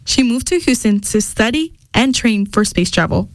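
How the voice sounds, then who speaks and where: A woman narrating a scripted radio feature in a clear, measured voice. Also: on the high side, animated, dry recording.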